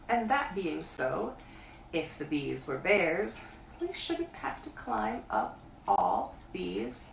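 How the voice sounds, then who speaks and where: Earnest, slow-thinking storybook bear woman reader acts him out, very lively intonation, recorded at a distance. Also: lowish voice, gravelly, heavily acted. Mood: wistful singsong. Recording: echoey room, distant mic, faint hiss.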